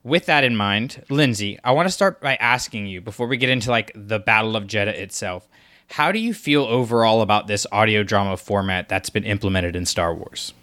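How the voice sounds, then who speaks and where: A man co-hosting a podcast, talking casually with quick, chatty delivery and frequent fillers. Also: fairly low pitch, bright, forceful tone. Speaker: podcast co-host.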